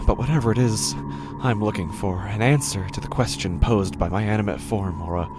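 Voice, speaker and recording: A man playing an anguished, rotting living corpse in a horror audio drama, speaking haltingly, repeating himself and dropping into whispers. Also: lowish voice, lightly acted. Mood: fearful, insistent. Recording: music behind the voice. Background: music.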